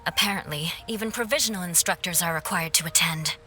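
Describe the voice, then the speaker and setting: A woman playing a cheerful, energetic young female messenger who is friendly and helpful, in a video game cutscene. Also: fairly low pitch, quick pace, faint music behind. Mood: upbeat. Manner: upbeat, lively.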